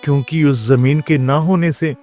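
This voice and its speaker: A man playing an authoritative village figure in a moral-story cartoon, speaking in a deliberate, declamatory way. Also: on the high side, steady tone, dark tone, faint music behind, lightly acted. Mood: worried. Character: domineering landlord and earnest village headman in a cartoon.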